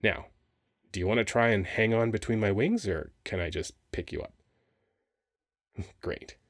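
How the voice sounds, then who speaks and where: A man playing a handsome, playful male sphinx guarding a temple in an ASMR roleplay, talking in a teasing, expressive, conversational way. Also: lowish voice, unhurried, no echo, clean studio sound. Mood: excited, playful. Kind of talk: ASMR roleplay audio acting.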